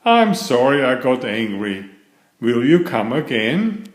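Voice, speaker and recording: A man voicing a flustered, fussy young mother who scolds in a shrill voice, in a storybook read-aloud. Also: very animated, slow. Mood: apologetic. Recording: roomy echo.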